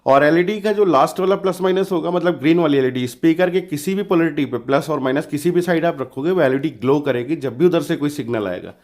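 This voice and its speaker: A man with a slightly hoarse voice narrates a DIY electronics tutorial casually, explaining step by step in an informal, reassuring way. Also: on the high side, quick pace, few pauses, darkish tone. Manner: informal, explanatory, often adds reassuring check-ins. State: slightly hoarse from a sore throat.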